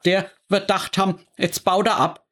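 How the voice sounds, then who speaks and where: A man's voice: high voice, flat delivery, moderate pace, fairly bright tone, voice pushed up. A man voicing an amused grown son, breathless from laughing.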